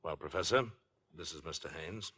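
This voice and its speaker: An actor playing a calm, authoritative police captain in a 1950s radio drama, measured and courteous but firm. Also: male voice, low-pitched.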